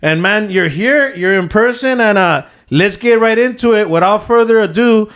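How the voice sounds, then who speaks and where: High-voiced, hyped-up podcast host. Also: male voice, moderate pace, fairly bright tone. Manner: hyped, affirming, mixes in Spanish. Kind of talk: casual podcast interview.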